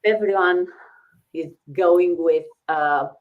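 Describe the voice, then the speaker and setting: A woman hosts a relaxed business conversation, thinking aloud with plenty of fillers and quick "right?" checks. Her voice is notably low for a woman, and she keeps an encouraging tone. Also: narrow, tinny audio. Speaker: host. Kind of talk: casual business talk show.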